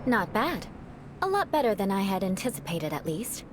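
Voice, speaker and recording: An actress playing a proud, composed young aristocratic knight in a video game, speaking in a formal, measured way. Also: female voice, mid-range voice, big pitch swings, background noise, lightly acted. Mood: cool, haughty. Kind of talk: scripted video game voice acting. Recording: music behind the voice.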